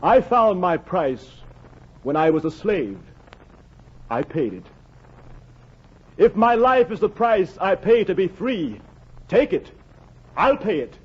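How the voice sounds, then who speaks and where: An actor in a 1940s radio drama playing a solemn, defiant enslaved man willing to die, speaking in slow, measured, impassioned oratory. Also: sits high, big pitch swings, slightly rough, heavily acted. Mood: defiant, resolute. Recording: muffled vintage 1940s radio recording.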